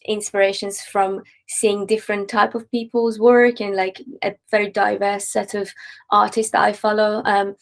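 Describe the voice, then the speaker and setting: Gravelly flat-voiced woman. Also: mid-range voice, normal speed. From Iran. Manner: relaxed, with frequent likes and ums. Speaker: interviewee, freelance artist. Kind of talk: casual interview answers.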